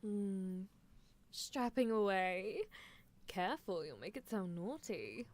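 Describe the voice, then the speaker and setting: An eccentric mad scientist played by a woman in an ASMR roleplay, doting on a test subject with gleeful menace. The pitch is medium but swoops widely, and the words are drawn out slowly. The delivery is theatrical, musing and teasing. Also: lively intonation. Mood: playful, sly. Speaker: streamer performing a character roleplay. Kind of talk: live ASMR character roleplay, improvised replies to listeners.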